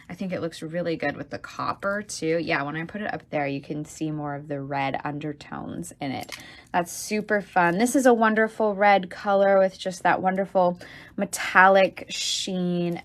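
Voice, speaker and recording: A woman's voice: on the low side, fairly bright tone. Chatty woman teaching beadwork. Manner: chatty and enthusiastic, with fillers and asides. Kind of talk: casual livestream tutorial.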